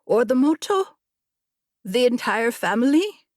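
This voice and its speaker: A woman's voice: on the high side, big pitch swings, measured pace, darkish tone, dry recording, studio quality, pitched above the performer's own voice. A woman voicing a curious, insistent child in a storybook tale, eager and questioning.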